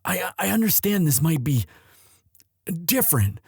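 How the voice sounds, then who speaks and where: A man playing an earnest, lovestruck young prince in an audio drama, speaking formally and haltingly as he implores his father. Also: on the high side, sing-song, darkish tone, husky, no room sound, studio quality, full character voice. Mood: earnest pleading. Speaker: voice actor in romance audio roleplay. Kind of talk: dramatic one-sided audio roleplay.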